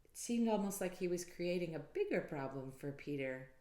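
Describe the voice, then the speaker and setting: A woman reading a children's story aloud in an expressive, deliberate way. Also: lowish voice. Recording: roomy, echoey sound.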